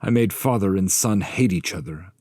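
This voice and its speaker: A man's voice: sits low, moderate pace, darkish tone, no echo, clean studio sound, voice pushed up. A man voicing a sorrowful headless soul who carries his own talking head and declaims mournfully, in an epic poetry reading.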